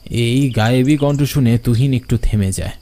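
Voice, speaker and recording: A man's voice: medium pitch, normal speed, dark tone. A man narrating a scripted horror story.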